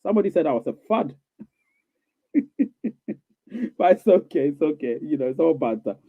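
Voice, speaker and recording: A man's voice: on the high side, big pitch swings, normal speed, no room sound. Man on a tinny live stream. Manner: rambling, repeats himself, many fillers; reassuring, coaxing. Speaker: livestream host reading viewer questions. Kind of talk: casual live-stream chat. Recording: tinny phone-like dry sound.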